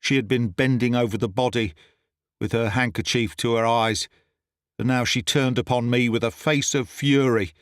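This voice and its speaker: A man's voice: mid-range voice, steady tone, moderate pace, no echo. A man reading a classic story in a dry, close voice. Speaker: audiobook reader. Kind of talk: scripted narration of a classic story.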